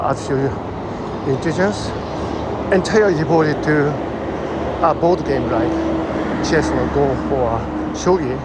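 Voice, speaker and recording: A man with a Japanese accent, thinking aloud in a halting way, full of fillers, while walking along a busy city street. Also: a little high. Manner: thoughtful, halting, many fillers. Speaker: vlogger. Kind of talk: musing monologue while walking. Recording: loud street traffic behind.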